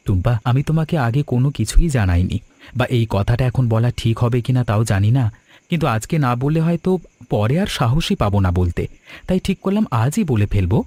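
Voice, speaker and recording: A man voicing a sincere, courteous young suitor in an animated story, speaking earnestly and politely. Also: medium pitch, brisk, no room sound. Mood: hesitant. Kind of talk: Bengali animated story dialogue.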